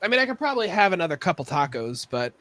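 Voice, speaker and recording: A man in casual conversation on a podcast, telling rambling stories and joking. His voice sits a bit higher than most men's. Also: big pitch swings, sharp tone. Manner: rambling, joking, quick to laugh. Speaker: podcast co-host. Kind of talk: casual chat.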